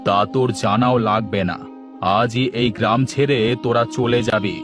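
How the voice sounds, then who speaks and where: A man's voice: mid-range voice, fairly even intonation, normal speed, music in the background, lightly acted. Man doing a bullying village headman. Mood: threatening. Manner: commanding, curt, menacing.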